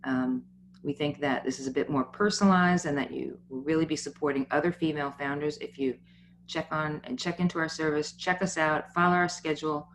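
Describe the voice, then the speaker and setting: A woman's voice: low-pitched, fairly even intonation, brisk, slight background noise. A middle-aged woman hosting an informal livestream talk, rambling and jumping from one point to the next. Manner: rambling, digressing, frequently jumping between points. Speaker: founder and host of a business network talk.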